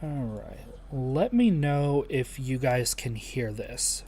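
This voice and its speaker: A man with a soothing, calm voice chatting casually with livestream viewers in a chill, low-energy, halting way. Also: a little hiss or hum. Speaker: live streamer.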